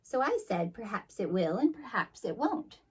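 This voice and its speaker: A woman's voice: medium pitch, very animated, moderate pace, breathy edge. Lady voicing a cheeky wee rabbit in a kids book, coy, lilting up and down. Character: small, clever, cheeky female rabbit in a storybook.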